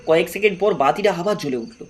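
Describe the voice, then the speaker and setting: A man's voice: sits high, expressive, fast. A man narrating a horror story in a dramatic, scripted storytelling style.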